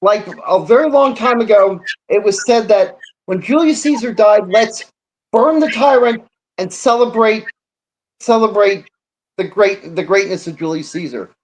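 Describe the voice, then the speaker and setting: A man's voice: sits high, measured pace, poor audio. A man co-hosting a sports show, giving his opinion in casual talk.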